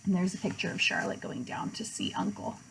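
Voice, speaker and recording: A woman's voice: fairly low pitch, animated, a little fast, creaky, fairly bright tone, slight background noise, rough recording. A woman narrating a children's storybook in a read-aloud style, as a teacher would.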